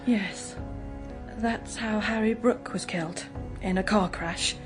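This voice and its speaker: An actress playing a gentle, guarded young English woman in a radio drama, speaking in an earnest, hesitant, confiding way. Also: mid-range voice, fairly even intonation, takes it slowly, over music, natural voice. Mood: subdued, confiding.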